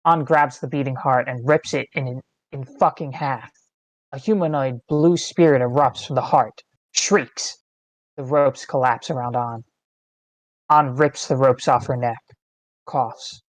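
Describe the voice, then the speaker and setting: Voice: medium pitch, measured pace, no room sound, rough recording. A narrator reading screenplay stage directions in terse, dramatic phrases. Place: online meeting, close dry mic.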